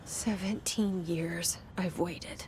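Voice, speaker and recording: An actress playing a guarded, haunted young woman who has just become director, talking quietly and often whispering her inner thoughts in a game cutscene. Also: on the low side, fairly even intonation, slow, background noise. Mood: tense. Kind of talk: video game cutscene acting.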